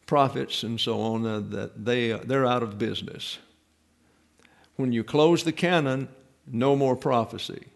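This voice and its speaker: A man's voice: mid-range voice, a little slow, gravelly. A man preaching a Bible teaching sermon from the pulpit, explaining patiently, leaning hard on key points, with frequent fillers and restarts. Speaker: pastor-teacher.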